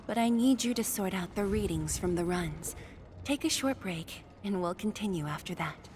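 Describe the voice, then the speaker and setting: A woman voices a lead researcher in a video game. Her mid-range voice is composed and authoritative, handing out measured instructions with faint background noise. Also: medium pitch, expressive, darkish tone, close to an everyday voice. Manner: measured, commanding.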